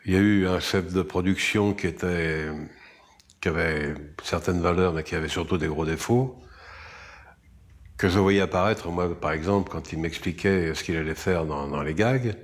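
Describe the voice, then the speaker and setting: Very deep-voiced man in an interview. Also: normal speed. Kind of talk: recollections in an interview.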